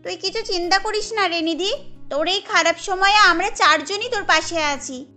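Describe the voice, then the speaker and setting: Woman as a girlish, squeaky-high young wife. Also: moderate pace, pushed and bright, soft background music. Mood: supportive. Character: young daughters-in-law in a joint family, girlish. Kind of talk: cartoon story dialogue.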